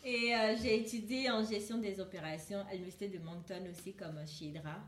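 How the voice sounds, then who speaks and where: A woman's voice: mid-range voice, fairly even intonation, fairly quick. A woman from Ivory Coast, talking casually as a co-host in a group chat. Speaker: podcast co-host. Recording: echoey room, faint noise.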